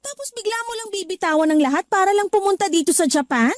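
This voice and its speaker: A sharp-voiced young woman in a radio drama. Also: very high voice, animated, fast, no echo, clean studio sound. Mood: worried. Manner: teasing, then scolding. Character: young woman working abroad, practical, a little irritated. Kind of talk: Tagalog radio drama acting.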